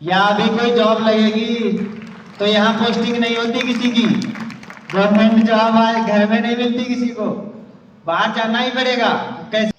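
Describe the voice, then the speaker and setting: Young recruit in his twenties, high voice, talks straight and plain, sounds distant in a big echoey hall, a bit muffled. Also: level tone, moderate pace, a little hiss or hum. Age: 20s. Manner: direct, plain-spoken advice. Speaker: newly selected army recruit. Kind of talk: answering interview questions. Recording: echoey hall, from far off, dull.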